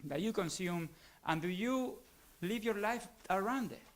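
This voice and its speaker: A man in the audience slowly builds a long question. His phrasing is formal, and he pauses and restarts as he hunts for words. His voice is slightly higher than most men's. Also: expressive. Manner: formal, halting, searching for words. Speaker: audience member asking a question.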